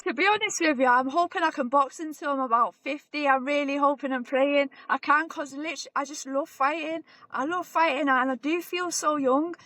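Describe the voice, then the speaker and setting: Chatty high-voiced woman in her forties. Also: fairly quick, hardly any pauses, no room sound. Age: 40s. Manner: relaxed, chatty, repeats herself. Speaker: boxer and guest. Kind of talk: casual interview chat.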